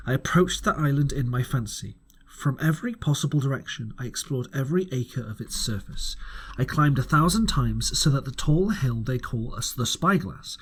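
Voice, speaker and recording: Husky male audiobook narrator, lively pitch. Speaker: audiobook reader. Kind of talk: scripted story narration.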